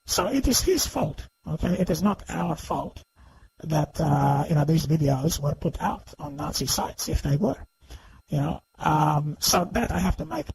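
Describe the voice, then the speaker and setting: A man giving unscripted, argumentative commentary in a halting but emphatic way, repeatedly seeking agreement. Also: on the high side, sing-song, gravelly, darkish tone, husky, no echo, rough recording. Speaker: online video commentator. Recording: faint background noise.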